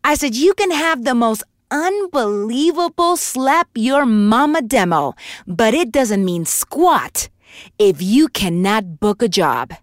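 A woman in her thirties who is a voiceover artist, giving emphatic, animated advice in a casual podcast interview. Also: a little high, takes it slowly, no echo, studio quality. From Puerto Rico.

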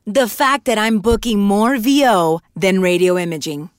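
A woman in her thirties who is a voiceover artist, giving emphatic, animated advice in a casual podcast interview. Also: medium pitch, moderate pace, smooth, dry recording, clean studio sound. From Puerto Rico.

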